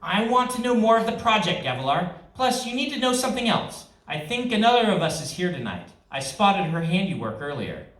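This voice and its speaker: Guy reading as a jittery urgent storybook plotter, high voice, bouncy pitch, roomy. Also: sing-song, fairly quick, hardly any pauses, a bit bright. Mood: anxious. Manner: anxious, insistent. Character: nervous, urgent male conspirator in fantasy tale. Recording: echoey event room, distant microphone.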